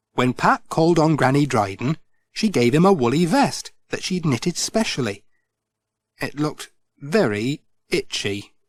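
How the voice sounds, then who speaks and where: A middle-aged man reading a children's storybook aloud, gentle and expressive. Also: a little high, no echo. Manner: gentle, expressive, sing-song. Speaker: audiobook narrator.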